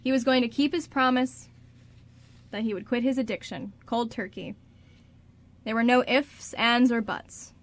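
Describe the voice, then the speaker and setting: Higher-pitched woman narrating a story. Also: measured pace, long pauses. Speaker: narrator of a radio drama.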